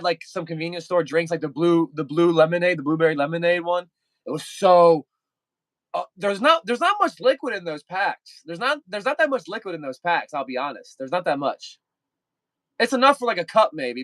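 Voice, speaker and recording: A man's voice: a little high, animated, fairly quick, fairly bright tone, dry recording. A young man chatting casually with viewers on a livestream, rambling and repeating himself with lots of fillers.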